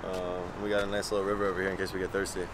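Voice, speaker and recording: A man's voice: sits low, monotone, very fast. A young man in his twenties vlogging on tour, talking loosely and joking while losing his voice. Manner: loose, joking, laid-back. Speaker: touring rapper vlogging. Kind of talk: casual tour vlog chat. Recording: loud background noise.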